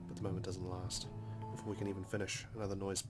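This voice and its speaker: A man reads visual novel narration as a dramatic story for a Let's Play. His voice sits low and stays very even in pitch, measured and serious. Loud game music plays behind him. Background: game soundtrack music.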